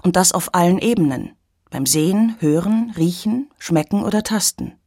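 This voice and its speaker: Female radio reporter, scripted narration, lowish voice, dry close sound. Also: moderate pace, no room sound. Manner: clear, even reporting.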